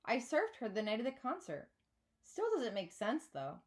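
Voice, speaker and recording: Lady reading a kids book out loud, teacher style, expressive, highish voice, super animated. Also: normal speed. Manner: expressive, dramatised. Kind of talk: expressive children's audiobook narration.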